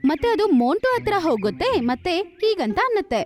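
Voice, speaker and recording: A woman's voice: very high-pitched, big pitch swings, fairly quick. A woman giving scripted narration for a children's cartoon story. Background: music.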